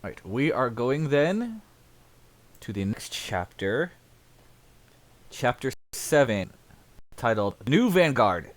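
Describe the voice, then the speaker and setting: A man's voice: mid-range voice, sing-song, slow, pauses often. Guy hosting a chill chat, encouraging, mid voice that swings around a lot. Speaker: host. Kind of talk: casual chat.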